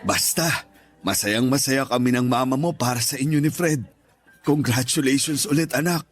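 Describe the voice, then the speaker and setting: A man's voice: medium pitch, normal speed, natural voice. A man playing a tender middle-aged stepfather in a radio drama, earnest and reassuring, with a Filipino accent. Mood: pleased. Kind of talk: radio drama acting in Tagalog. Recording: music bed behind the voice.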